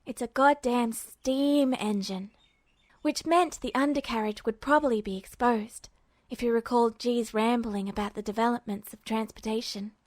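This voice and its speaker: A woman's voice: a little high, no room sound. A woman reading a scripted piece of fiction aloud as an amateur audiobook narrator.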